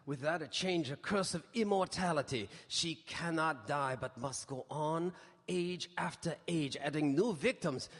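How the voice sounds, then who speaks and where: An actor playing a solemn, commanding old professor who hunts vampires, with formal, portentous radio-drama delivery. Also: male voice, on the high side. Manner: portentous, formal, emphatic oratory. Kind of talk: live radio drama acting. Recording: live stage broadcast in reverberant hall.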